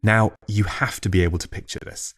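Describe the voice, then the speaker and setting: A man's voice: fairly low pitch, fairly even intonation, fairly quick, fairly bright tone. A man reading scripted local history as an audiobook narrator, with a wry storytelling manner.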